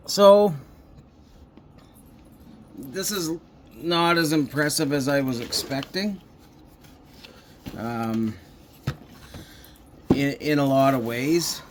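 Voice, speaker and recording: A middle-aged man chats off the cuff at a slow pace, with an average-pitched voice. He is halting and rambling, thinking out loud, with faint noise behind him at home. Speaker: hobbyist electronics recycler and video host. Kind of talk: casual unscripted vlog talk. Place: indoors at a home coffee table.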